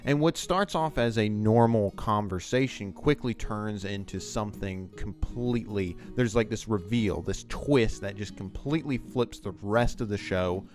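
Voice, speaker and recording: Low-voiced man giving casual commentary. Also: measured pace, hardly any pauses, faint music behind. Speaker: video essayist host. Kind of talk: casual, unscripted explanatory commentary on TV writing.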